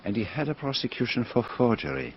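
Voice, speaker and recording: An actor playing a courteous, inquisitive middle-aged Belgian detective in a radio drama, with a French-speaking Belgian accent and formal, precise phrasing. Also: male voice, mid-range voice, big pitch swings, fast talker, crowd noise, lightly acted. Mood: measured, inquisitive. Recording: dull lo-fi radio recording, faint noise.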